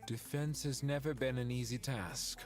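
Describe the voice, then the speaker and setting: A man's voice: medium pitch, fairly even intonation, moderate pace, natural voice. A man playing a dignified, loyal spirit leader in a video game, with formal, stately speech. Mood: consoling. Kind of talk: scripted video game dialogue. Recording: game music behind the voice.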